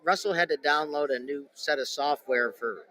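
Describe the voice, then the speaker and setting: A man's voice: on the high side, moderate pace, sharp tone, poor audio. A man hosting a casual sports betting talk show, rambling with many restarts and fillers. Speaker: podcast host. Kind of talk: casual chat.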